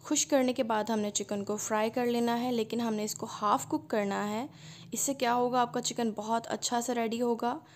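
A woman narrates a home cooking video in a calm, instructive voice. Her voice sits a little high, and she walks through each step patiently. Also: normal speed, slight background noise. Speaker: cooking channel host. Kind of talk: recipe voice-over narration.

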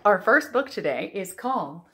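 A woman in her thirties hosting a storytime for young children, reading aloud and chatting in an expressive, exclamatory way. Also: medium pitch, moderate pace. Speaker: children's librarian and storytime host. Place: living room at home.

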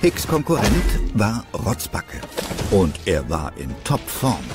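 A man narrating a children's adventure radio play as a storyteller, in a scripted style. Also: lowish voice.

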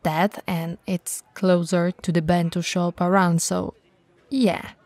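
A woman playing a tall, friendly, teasing bento shop owner in an intimate ASMR roleplay, with a breathy voice and a casual, chatty manner. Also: fairly low pitch, level tone, slow pace, darkish tone, no room sound. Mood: relaxed, chatty. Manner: casual, playful, hesitant and chatty.

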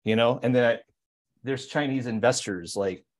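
A man's voice: medium pitch, normal speed. A man hosting a podcast in casual chat, easygoing and agreeable, often chiming in with short yeahs.

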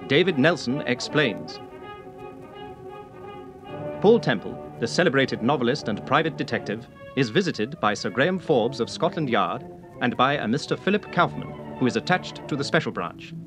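A man's voice: mid-range voice, big pitch swings, normal speed. A British man reading scripted narration as an old-time radio announcer. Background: brass music.

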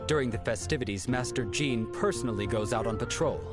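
An actor playing a courteous armoured knight in a video game, speaking in a formal, declamatory way. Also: male voice, mid-range voice, brisk, over loud music, lightly acted.

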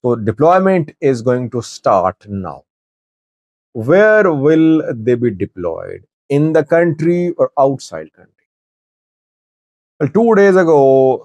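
A man in his forties giving news commentary to camera in a deliberate, halting way, pausing mid-sentence. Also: medium pitch, darkish tone, no room sound. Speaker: news commentator, channel host. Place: small wood-panelled office, indoors.

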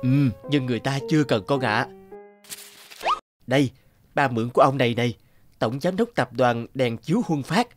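A man's voice: medium pitch, animated, normal speed, long pauses, lightly acted. Shifty but loving thief father, acted by a man. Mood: coaxing. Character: shifty, guilty young father and petty thief, fond of son. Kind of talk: cartoon voice acting. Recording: music bed behind the voice.

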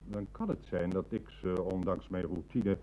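An actor playing a formal, loyal bank manager in a radio play, speaking firmly. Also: male voice, low voice, fairly even intonation, moderate pace, dark tone, dull audio, close to an everyday voice. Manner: formal, firm. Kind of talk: Dutch radio play acting.

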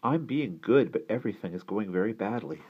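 A man's voice: on the low side, expressive, a little fast, husky, dull audio, voice pushed up. A man voicing a polite, meek young witch girl who is eager to help in a children's storybook. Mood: sad, meek. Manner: polite, earnest. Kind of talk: children's storybook read-aloud.

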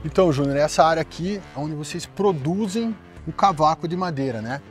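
A man's voice: fairly high pitch, lively intonation, a little fast, soft background music. A man with a Brazilian Portuguese accent hosting an on-site tour of a sawmill, asking leading questions in an upbeat way. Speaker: host. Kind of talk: on-site company tour interview. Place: outdoors at a sawmill.

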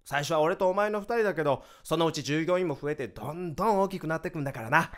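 Radio drama, male actor as exuberant, chatty male startup co-founder; high bright voice, friendly casual banter. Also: fast, natural voice. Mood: puzzled, concerned. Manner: exuberant, chatty, swinging from cheers to concern. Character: excitable, upbeat male vice president of a new startup.

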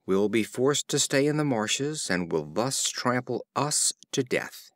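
A man voicing an anxious old frog in a storybook fable, speaking slowly and with worry. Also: mid-range voice, lively intonation, a bit bright, dry recording, studio quality.

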